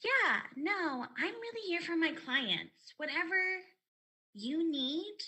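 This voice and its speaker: A pushy, eager shop clerk chatters in a high, grating voice, as a woman puts her on. She rambles and stumbles, bright and keen. It sounds like a video call. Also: sing-song, slow pace, exaggerated voice. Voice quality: annoying high-pitched voice.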